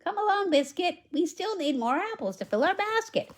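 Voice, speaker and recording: A bright, high little girl's voice, cheerful and sing-song, calling after her puppy through an autumn storybook, as a woman reads her out. The delivery jumps lively up and down in pitch, slow and deliberate like reading to small children.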